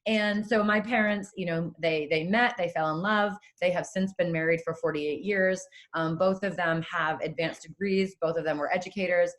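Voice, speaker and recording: A woman's voice: on the low side, steady tone, moderate pace, few pauses. A woman in her forties from southeastern New England giving a conversational webinar talk, with fillers like um and you know. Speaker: webinar presenter. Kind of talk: personal storytelling presentation.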